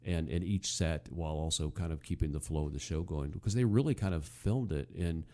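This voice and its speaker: A man hosting a casual talk show, giving commentary in a halting way, often circling back to rephrase. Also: a bass voice, dark tone.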